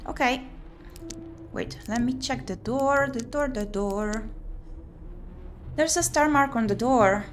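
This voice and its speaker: A woman's voice: fairly high pitch, takes it slowly, long pauses. A woman streamer playing a visual novel, reading lines aloud expressively and murmuring comments to herself. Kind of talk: game playthrough, reading dialogue aloud with comments. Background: game music.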